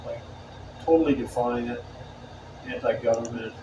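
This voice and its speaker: A man's voice: mid-range voice, measured pace, mellow. A man in casual chat, telling stories in an easygoing, chatty way. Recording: roomy, distant and noisy.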